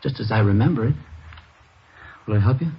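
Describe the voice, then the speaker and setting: A male actor as a murder suspect playing the innocent husband. He speaks at a middling pitch, hesitating and stammering as he tries to be helpful. It is old radio drama acting on a dull, noisy recording. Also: expressive, a little fast, close to an everyday voice. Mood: unsure, explaining. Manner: hesitant, stammering, eager to please.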